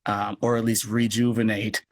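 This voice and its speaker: A man's voice: on the low side, flat delivery, normal speed. American guy rambling a bit as a podcast guest, self-effacing, faint noise on the live call. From United States. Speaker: guest, audio drama producer and actor. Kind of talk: casual interview chat. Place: live social media video call. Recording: noisy live video-call audio.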